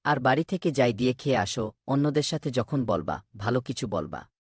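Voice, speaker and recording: Guy doing a kind cartoon school teacher, bit raspy, dry close sound, earnest. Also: medium pitch, a little fast, no echo. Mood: advising. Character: kindly male schoolteacher, concerned and easily moved. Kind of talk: cartoon voice acting.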